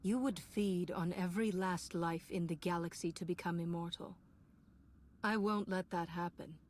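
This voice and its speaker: Woman as low-voiced, composed Jedi heroine. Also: steady tone, moderate pace, a little mellow, a little hiss or hum, close to an everyday voice. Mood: defiant. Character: resolute, compassionate female Jedi heroine. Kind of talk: video game dialogue.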